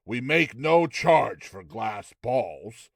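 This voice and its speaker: A man's voice: medium pitch, very animated, extremely slow, gravelly, no room sound, studio quality, full character voice. Man playing a sly, mysterious magic-shop keeper. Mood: sly, composed.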